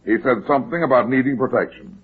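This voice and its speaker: An actor playing a tough, terse private detective in classic radio drama, clipped and blunt in manner. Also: male voice, mid-range voice, fast, breathy edge, lightly acted. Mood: curt, probing. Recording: muffled, lo-fi vintage radio recording.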